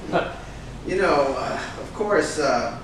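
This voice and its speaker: In a noisy, echoing room, far from the mic, a pushy and fretting talent agent holds forth , acted by a man at a staged reading. He speaks slowly and haltingly in a high voice, with a dramatic touch. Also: exaggerated voice. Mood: catty, curious. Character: pushy, fretting male talent agent, accusing then flattering.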